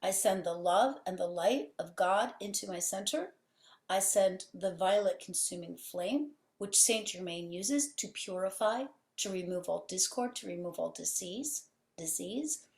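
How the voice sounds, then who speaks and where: A woman's voice: mid-range voice, expressive, unhurried, a little mellow. A woman guest on a podcast who runs a healing center. She speaks fervently and with emphasis, piling up repeated phrases. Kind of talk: conversational interview. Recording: roomy echo.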